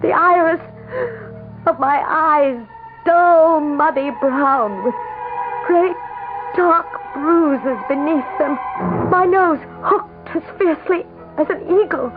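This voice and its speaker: An actress playing a tormented, frightened governor's wife in a radio drama, dramatic and halting in her delivery. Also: very high voice. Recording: dull lo-fi radio drama with loud music.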